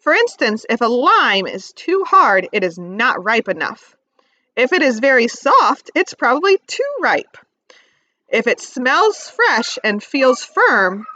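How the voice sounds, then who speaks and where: A woman teacher reading aloud to young children, expressively stressing key words and pausing to ask simple questions. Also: a little high, bright, forceful tone, dry recording, muffled recording. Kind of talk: read-aloud lesson with questions to young listeners.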